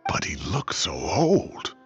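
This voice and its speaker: An actor playing a crotchety, dramatic older professor in a video game, grumbling and exclaiming in old-fashioned phrases. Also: male voice, low-pitched, huge pitch swings, slow, lo-fi sound, faint music behind, full character voice. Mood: dismayed. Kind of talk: video game character acting.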